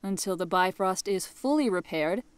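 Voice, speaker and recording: A woman playing a composed, knowing goddess who guides others calmly in an audio drama. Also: mid-range voice, normal speed, smooth, no echo, close to an everyday voice. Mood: calm, instructive. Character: composed, knowing goddess, gently guiding mentor.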